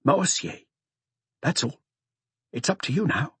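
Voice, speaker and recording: A man's voice: a little high, lively intonation, a little slow, fairly bright tone, slightly rough, dry recording, voice pushed up, full character voice. A man doing a secretive, muffled anonymous tipster, raised voice. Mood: secretive, insistent. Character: anonymous male tipster disguising his voice, secretive and terse.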